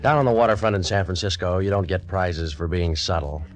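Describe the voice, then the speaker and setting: An actor playing a cynical, world-weary waterfront private investigator, narrating wryly in 1940s radio detective style. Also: male voice, low-pitched, brisk, faint music behind, natural voice.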